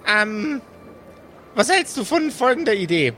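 A man's voice: very high-pitched, sing-song, measured pace, a bit bright, faint music behind, pitched above the performer's own voice, heavily acted. A man voicing a timid, kindly, clumsy big creature with a hesitant stammer in a tabletop game. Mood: bashful, earnest.

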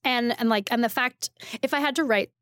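Woman chatting haltingly, close dry recording. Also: a little high, a bit bright, no echo. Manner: halting, self-interrupting, frequent "like". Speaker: restaurant critic, interview guest. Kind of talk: casual conversational interview.

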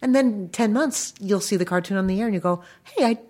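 A woman's voice: mid-range voice, brisk, darkish tone. Effusive American woman in her fifties. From Long Island, New York, United States. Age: 50s. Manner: enthusiastic, effusive, animatedly quoting people in stories. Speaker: interview guest, animation voice director. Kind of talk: casual anecdotal interview storytelling.

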